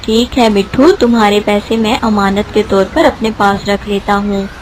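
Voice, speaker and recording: Woman voicing a kindly lowered-pitch vegetable seller man. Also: level tone, normal speed, a little hiss or hum, close to an everyday voice. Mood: kindly, reassuring. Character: kind male vegetable seller, fair and fatherly employer.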